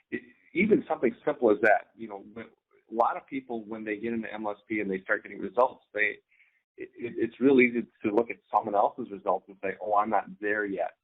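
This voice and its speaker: A man with a slightly low voice speaks through a thin, phone-like connection. He coaches informally, doubling back on his words and often checking in with a quick "right?". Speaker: co-host, online business coach. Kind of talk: informal instructional talk. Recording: thin, phone-like band-limited sound.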